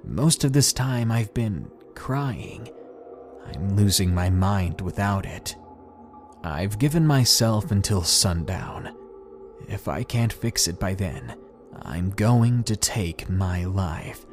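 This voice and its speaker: A broken janitor spells out his last words, as a male narrator reads them, slow and hushed, often sinking to a whisper. The voice sits low. Soft music plays faintly behind. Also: expressive. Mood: despairing. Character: despairing male school janitor writing a farewell note. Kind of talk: dramatic horror story reading.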